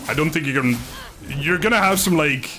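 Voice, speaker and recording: A man's voice: fairly high pitch, normal speed, sharp tone, soft background music. A man casually commentating a video game on a live stream, chatting with viewers in a rambling, good-humoured way.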